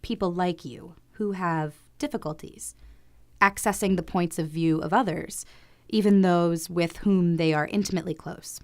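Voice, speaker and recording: Radio play: actress as measured female therapist, clinical, quietly authoritative, slightly low register. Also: fairly even intonation, lightly acted. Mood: clinical, assured. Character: composed, clinical female therapist with hypnotic methods.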